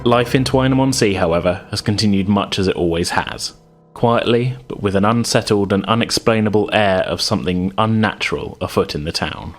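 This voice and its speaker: A man hosting as game master, narrating a tabletop roleplaying game in a measured way. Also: lowish voice, soft background music. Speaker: game master, podcast host.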